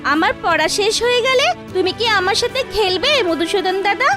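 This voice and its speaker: A woman's voice: very high voice, expressive, fairly quick, over music. A woman voicing a small, trusting, timid schoolboy in a children's cartoon, childlike and inquisitive.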